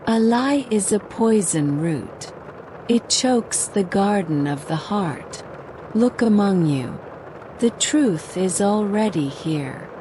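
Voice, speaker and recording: A woman voicing a gentle, wise ancient male forest spirit in a folktale, with a rustling tone. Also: medium pitch, expressive, takes it slowly, background noise. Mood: solemn. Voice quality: rustling, leafy.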